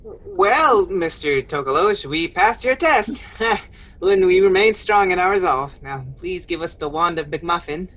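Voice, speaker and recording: A woman's voice: lowish voice, animated, unhurried, fairly bright tone. A woman playing an earnest, cheerful, plucky quest companion in a radio play, polite and resolute. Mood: resolute, upbeat.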